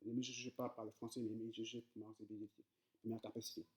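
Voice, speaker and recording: A man's voice: fairly low pitch, level tone, fast talker, a little mellow. A man who is not a native French speaker, teaching coding in a casual tutorial, hesitant, with many fillers and repeated words, speaking directly to viewers. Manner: addresses viewers directly, informal.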